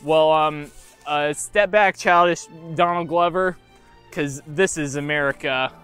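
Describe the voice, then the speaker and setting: A man's voice: on the high side, fairly bright tone, faint music behind. Rambling young man with a lapel mic, outdoors. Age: young adult. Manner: rambling, self-mocking, halting with many fillers. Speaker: YouTuber unboxing toys. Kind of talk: jokey deadpan unboxing vlog. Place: outdoors by a field under trees.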